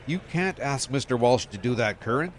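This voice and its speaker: An actor playing a gruff, questioning police captain in an audio drama. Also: male voice, medium pitch, animated, moderate pace, a little hiss or hum, lightly acted. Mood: skeptical.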